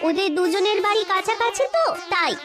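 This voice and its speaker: A chirpy cartoon schoolchild with a very high, piping, sing-song voice. Loud music plays under it. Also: female voice, a little fast.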